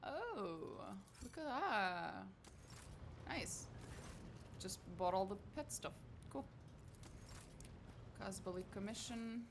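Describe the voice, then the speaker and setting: A woman's voice: mid-range voice, sing-song, slow, pauses often, background noise. Chatty woman streaming a game. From Portugal. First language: Portuguese. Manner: chatty, swears casually, talks to chat. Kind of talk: casual gaming livestream chat.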